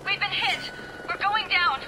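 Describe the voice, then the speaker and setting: A woman's voice: very high voice, a little slow, heavy background noise. An actress playing a determined female TV news reporter in a video game, urgent and articulate. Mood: panicked. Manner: urgent, articulate broadcast delivery.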